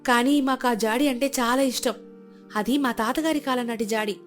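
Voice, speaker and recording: A woman voicing a clever, calm young daughter-in-law in a storybook tale, speaking politely and reassuringly. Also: on the high side, moderate pace, soft background music, close to an everyday voice.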